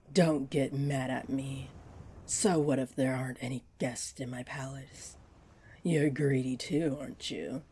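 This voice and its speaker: A woman voicing a flirty, possessive mermaid in an intimate roleplay audio drama, coaxing in breathy half-whispers. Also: low-pitched, warm tone, slight background noise. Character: flirty, possessive, greedy female mermaid luring a pirate. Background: wave ambience.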